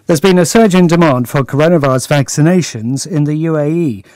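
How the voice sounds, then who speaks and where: A man reading a scripted news bulletin in a measured, formal way. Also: mid-range voice, a little mellow, no room sound. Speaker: news reader.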